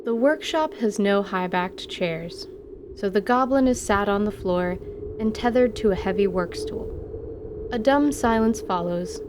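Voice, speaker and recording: A woman reading scripted narration for a fantasy audio drama in a measured storyteller's manner. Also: mid-range voice, noisy background.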